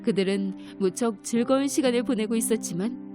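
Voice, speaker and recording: Gentle, slightly high female narrator for a kids' story, over music. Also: brisk.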